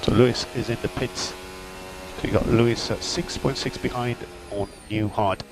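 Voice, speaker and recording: A man's voice: medium pitch, steady tone, moderate pace. A man working as a race engineer, giving terse, matter-of-fact technical updates in clipped phrases over team radio. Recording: noisy radio link with mechanical hum.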